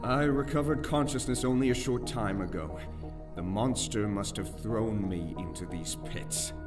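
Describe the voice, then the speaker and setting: A man's voice: lowish voice, unhurried, natural voice. An actor playing an eloquent, anxious young nobleman in a fantasy audio drama, with theatrical, archaic phrasing. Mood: grave, shaken. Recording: music bed behind the voice.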